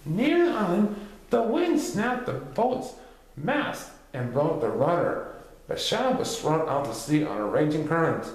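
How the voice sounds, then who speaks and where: A man's voice: fairly high pitch, sing-song, a little slow. A man reading a written history story aloud as a narrator, occasionally stumbling over a word. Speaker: reader. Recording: very echoey, reverberant room.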